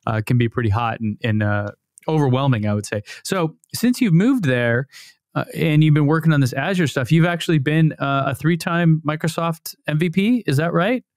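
Man in his thirties, podcast host, casual chat, smooth clear voice, dry close mic. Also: a little high, normal speed, no echo. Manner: relaxed, friendly, chatty asides. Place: dim home studio. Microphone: studio mic on boom, headphones.

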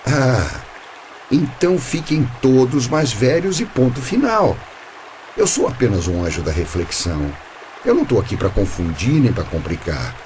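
A man's voice: mid-range voice, very animated, normal speed, darkish tone, a little hiss or hum, natural voice. A man with a Brazilian accent playing a mysterious, wry, impatient angel guide in a radio play, with theatrical formal speech. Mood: exasperated.

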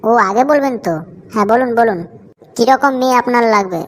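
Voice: medium pitch, a little fast, close to an everyday voice. A performer voicing a cheeky young matchmaker in a comic cartoon, teasing and wheedling in crude slang. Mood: wheedling salesmanship. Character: cheeky, foul-mouthed young matchmaker hustling an old customer.

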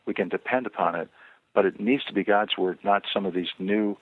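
An older man, an author and guest on a radio interview, speaking earnestly and measuredly with frequent pauses and fillers. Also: lowish voice, dry recording. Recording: tinny phone line, dry.

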